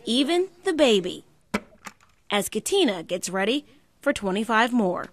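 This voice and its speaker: A woman's voice: fairly high pitch, very animated, measured pace, a little hiss or hum. A woman TV news reporter delivering scripted narration.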